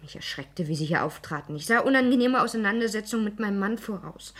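An actress playing a guarded, gently reproving housewife in a 1960s German radio play, reserved and soothing. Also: female voice, medium pitch, lively intonation, fast talker, natural voice. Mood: hushed, conciliatory.

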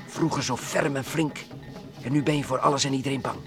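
A man's voice: mid-range voice, steady tone, fairly quick, vocal fry, music in the background, close to an everyday voice. Een man die in een ouderwets hoorspel voor kinderen een besliste, nieuwsgierige en plagerige ruimtereiziger speelt. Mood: teasing. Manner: decisive, mocking, wondering aloud. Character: bold, curious, teasing male space explorer. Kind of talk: old-fashioned children's radio play acting. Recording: old radio play recording.

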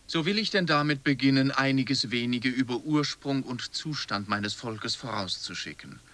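Bright-voiced man reciting a chronicle. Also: medium pitch, normal speed. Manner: formal, literary delivery.

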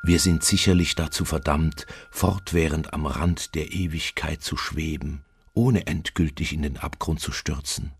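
An actor playing a haunted, doomed seafarer in a literary radio drama, speaking in a slow, brooding, solemn manner with a husky, gravelly voice. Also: male voice, steady tone, a little hiss or hum, exaggerated voice. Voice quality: very deep, gravelly, husky, at times near whisper. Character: haunted male seafarer recounting his doom aboard a ghost ship.

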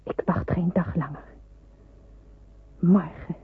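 An actress playing an anguished, dutiful and fearful young nurse in a Dutch radio play, hesitant and brooding, with a breathy, husky voice. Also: female voice, lowish voice, fairly even intonation, slow pace. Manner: hesitant, brooding, self-questioning. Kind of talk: Dutch radio play acting, inner monologue. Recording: muffled tinny old radio recording.

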